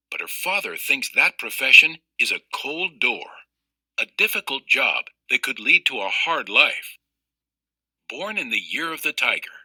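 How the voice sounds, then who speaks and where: Bright, lively man reading a children's book. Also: medium pitch, breathy edge, no echo, low-quality recording. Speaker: read-aloud narrator. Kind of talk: expressive scripted children's book narration.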